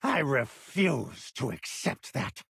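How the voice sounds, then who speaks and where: An actor playing a proud, bitter aging gang boss in a video game, theatrical and volatile, with a gravelly, husky voice. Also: male voice, mid-range voice, big pitch swings, takes it slowly, a bit bright, no echo, studio quality, full character voice. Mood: weary defiance. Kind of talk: video game character acting.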